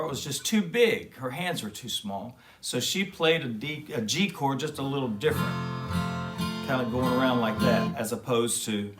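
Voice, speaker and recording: A man hosting a kids' show, talking gently and folksily to children between guitar demos. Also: mid-range voice. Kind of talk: friendly talk to kids with guitar demos. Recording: music loud in background.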